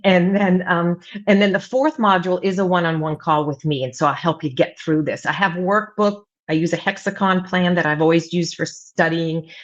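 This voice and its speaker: Rambling woman with a dull lo-fi sound. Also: lowish voice. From United States, mostly Virginia Beach. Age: middle-aged. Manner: rambling with many fillers and restarts. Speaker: writing coach and author, guest. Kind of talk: casual interview talk.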